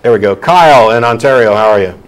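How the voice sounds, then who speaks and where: A man's voice: medium pitch, lively intonation, quick pace, rough recording. A man hosting a call-in talk show, answering a caller bluntly and explaining his arguments at length. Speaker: host.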